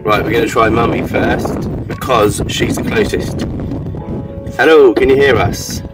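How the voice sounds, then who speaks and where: Casual British male vlogger, middle-aged, mid-pitched voice, recorded outdoors under prominent music. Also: steady tone, measured pace, low-quality recording. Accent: British English. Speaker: vlogger reviewing walkie-talkies. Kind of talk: casual outdoor vlog chat. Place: outdoors, windy.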